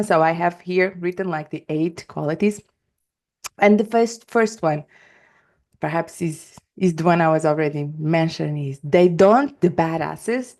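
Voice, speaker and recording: A woman's voice: sits low, darkish tone. A woman from Portugal with a Portuguese accent, a coach hosting her own podcast, talking emphatically with many fillers and restarts. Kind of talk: solo podcast talk.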